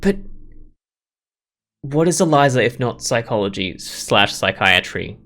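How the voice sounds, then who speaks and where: A man's voice: medium pitch, animated, measured pace, a bit bright. A man hosting a gaming video, giving casual let's play commentary and thinking aloud in a rambling way.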